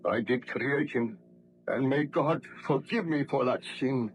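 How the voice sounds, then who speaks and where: An actor playing a desperate, guilt-ridden baron in an old radio drama, with impassioned, formal, theatrical diction. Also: male voice, mid-range voice, measured pace, lightly acted. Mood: anguished. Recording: noisy vintage radio drama recording.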